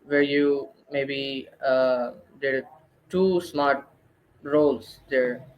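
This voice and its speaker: A man hosting an interview, asking questions in a halting, affirming way over dull lo-fi audio. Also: mid-range voice, flat delivery. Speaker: podcast host.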